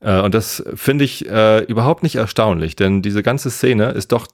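A man, a podcast guest chatting in a relaxed, rambling way full of fillers. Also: lowish voice, normal speed, dry recording, studio quality. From Hamburg area, northern Germany. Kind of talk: casual podcast chat.

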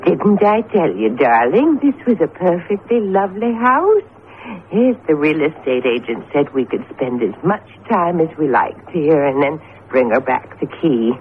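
An actress playing a chatty, affectionate, eager wife in an old radio drama. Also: female voice, low voice, big pitch swings, unhurried. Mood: breezy, eager. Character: breezy, eager wife showing off a house. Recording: tinny vintage radio broadcast, faint hiss.

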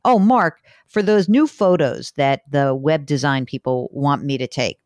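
A woman from New York hosting a podcast in casual chat, animated, wry and self-deprecating. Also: low-pitched, measured pace, dry recording, studio quality. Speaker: podcast host. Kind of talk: casual chat, audience address.

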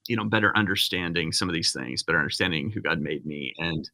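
Bright-voiced rambling male pastor. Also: deep voice, fast. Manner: rambling, self-interrupting, frequent restarts. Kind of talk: casual podcast conversation.

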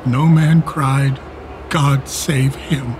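An actor playing a stern, proud elderly English duke in a Shakespeare radio play, declaiming archaic verse with a quick temper. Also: male voice, mid-range voice, fairly even intonation, noisy background. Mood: grave, sorrowful. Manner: declamatory, measured verse delivery.

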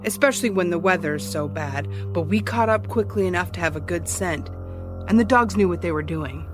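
An actress playing a brash, wry private eye who recounts a case in a blunt, teasing, theatrical way. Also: female voice, fairly low pitch, a little fast, over music, natural voice. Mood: dramatic recounting. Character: brash, wry woman private eye, small-town ex-cop. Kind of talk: audio drama dialogue, recounting a case.

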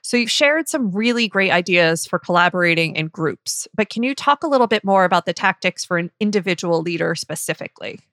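Bright-voiced woman host asking questions. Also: on the low side, expressive, fairly quick, few pauses, dry recording, clean studio sound. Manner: curious, asks follow-up questions and shares anecdotes. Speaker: podcast host. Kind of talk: conversational interviewing.

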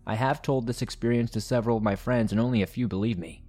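A man reading first-person horror stories as a narrator, in a measured, deliberate storytelling manner. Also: medium pitch, dark tone. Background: background music.

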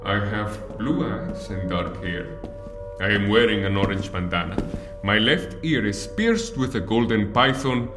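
An actor playing a theatrical, self-important male guerrilla leader in an audio drama, making grandiose, deliberate pronouncements. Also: lowish voice, animated. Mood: proud, commanding. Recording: echoey room with music behind.

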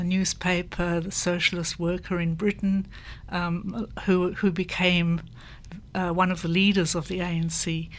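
An older woman, recounting her personal history in a measured, reflective way. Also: fairly low pitch. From South Africa. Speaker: panel guest. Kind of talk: personal recollection in conversation.

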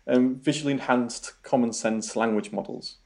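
A man's voice: mid-range voice, fairly even intonation, normal speed, vocal fry, darkish tone. A young man with a Yorkshire accent, a researcher answering interview questions in a hesitant way, full of ums and repeated words. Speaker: robotics researcher guest.

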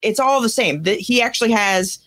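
A woman's voice: fairly high pitch, expressive, moderate pace, a bit bright. A woman co-hosting a podcast, chatting casually about pop culture. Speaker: podcast co-host.

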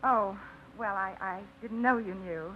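A woman's voice: mid-range voice, unhurried, slight background noise, close to an everyday voice. An actress playing a shy, hesitant young woman who stumbles over her words, in a 1950s radio drama. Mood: timid. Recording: muffled 1950s radio broadcast.

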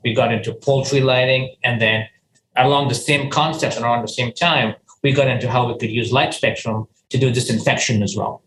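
A man's voice: mid-range voice, fairly even intonation, brisk, a bit bright, a little hiss or hum. Earnest man in an echoey room. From Ghana. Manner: earnest, with frequent restarts and "you know". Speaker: company founder and CEO. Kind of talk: conversational interview answers.

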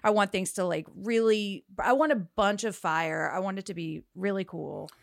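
A woman's voice: medium pitch, normal speed, creaky, no echo, studio quality. A woman co-hosting a podcast in casual, slangy chat. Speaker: podcast co-host.

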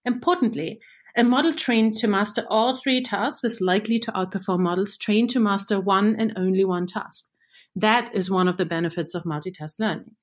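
A research engineer from Germany, a native German speaker, explaining machine learning in a clear, measured scripted segment. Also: female voice, medium pitch.

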